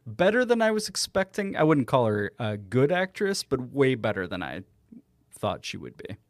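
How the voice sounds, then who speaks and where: A man's voice: mid-range voice, sing-song, no echo, studio quality. Easygoing male podcast co-host. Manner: easygoing, drawn-out, swears. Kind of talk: casual chat.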